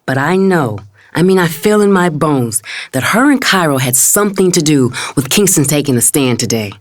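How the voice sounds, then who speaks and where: A woman's voice: low voice, lively intonation, normal speed. An actress playing an indignant, sassy wife and mother who narrates her family feud in an audio drama. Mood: scornful. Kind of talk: dramatic first-person story narration.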